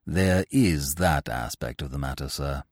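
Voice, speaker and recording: A man's voice: rumbling low, unhurried, dry recording, clean studio sound, pitched below the performer's own voice, exaggerated voice. A man voicing a grave, unflappable middle-aged valet who speaks formally and deferentially. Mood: composed.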